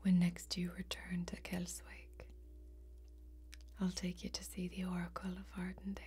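A young woman playing a kindly, protective fantasy warrior in a soft-spoken ASMR role-play, gentle, whispery, folksy and reassuring, close to the microphone. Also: fairly low pitch, completely flat delivery, moderate pace, soft background music, natural voice. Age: young adult.

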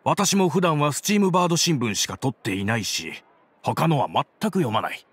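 A man voicing a wary businessman in an anime game, speaking in a formal, measured, wry manner. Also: on the high side, animated, slightly rough. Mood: cautious. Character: wry, wary businessman, long-time trading partner. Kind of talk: Japanese anime game voice acting.

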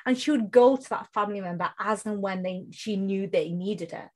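Earnest British woman telling her story. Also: medium pitch. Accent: British English. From United Kingdom. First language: Bengali. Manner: storytelling, earnest, slows down to stress points. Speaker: guest. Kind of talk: casual interview conversation.

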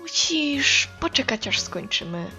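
Blunt cartoon monster schoolgirl, female actor, sarcastic and brusque, animated intonation, music behind. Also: sits high, a little slow, heavily acted. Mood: gruff, exasperated. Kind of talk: fan-dub comic acting.

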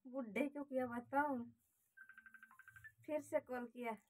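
A woman's voice: high-pitched, steady tone, slow. A young adult woman with an Indian accent, talking casually on a phone call from a small room at home. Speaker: prank caller.

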